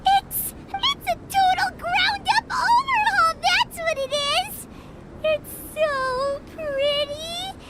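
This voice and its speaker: A woman voicing a tiny, bossy, excitable fairy companion in a video game, with a squeaky childlike voice and a dramatic manner. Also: slow, very noisy. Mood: delighted. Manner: refers to self in third person, exclamatory, bossy. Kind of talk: animated game voice acting. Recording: prominent background music.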